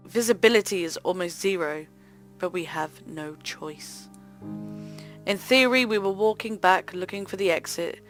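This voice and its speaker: A woman hosting a let's play, reading visual novel narration aloud in an expressive, theatrical way. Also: lowish voice. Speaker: host of a gaming let's play channel.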